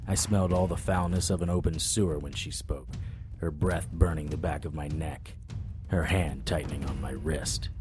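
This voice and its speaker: A man playing a weary, wry monster fighter, narrating an audio drama in the first person with a husky voice. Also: extremely low pitch, steady tone, normal speed, music in the background. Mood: grim, haunted.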